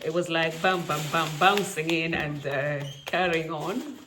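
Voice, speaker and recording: A woman's voice: low voice, a little slow, background noise. A woman in her thirties from Kenya with a Kenyan accent, talking casually to camera at home and laughing often. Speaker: vlogger. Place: quiet home.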